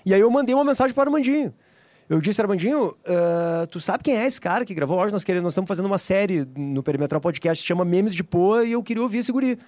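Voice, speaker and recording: A male podcast host with a notably high voice, who talks in an easy, enthusiastic way full of slang. The recording is dry and close. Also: expressive, fast talker, a little mellow, no room sound. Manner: laughing, enthusiastic, informal slang. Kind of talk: casual interview chat.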